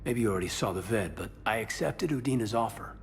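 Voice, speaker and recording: A man's voice: lively intonation, fast talker, creaky. A man playing an earnest, modest military officer in a video game, speaking in a friendly, humble way. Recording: low rumbling background noise.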